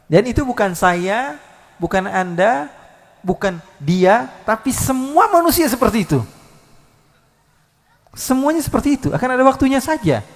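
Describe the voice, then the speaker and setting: A male preacher giving a live Islamic sermon, lively, swinging between loud calls and soft asides with many rhetorical questions. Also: very high voice, moderate pace, pauses often, long reverb tail. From Indonesia. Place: large hall at a live religious gathering.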